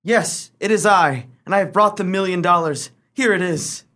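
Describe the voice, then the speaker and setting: A high-pitched, declamatory prodigal son, played by a man. He is earnest and overwrought, in the style of a hammy radio melodrama. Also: moderate pace, pushed and bright, a little hiss or hum. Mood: triumphant.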